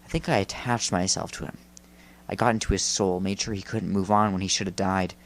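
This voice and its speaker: Vengeful young male spirit for a storybook, played by a male reader: surly, bitter, brooding, quick to snap. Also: low-pitched, fairly even intonation.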